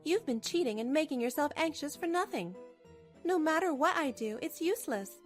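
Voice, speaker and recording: A woman acts out a betrayed young wife in a manga drama, her voice very high. She is cold, scornful and righteously angry, biting into each accusation. Soft music sits behind her. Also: expressive, moderate pace. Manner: cutting, accusatory. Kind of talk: dubbed manga drama acting.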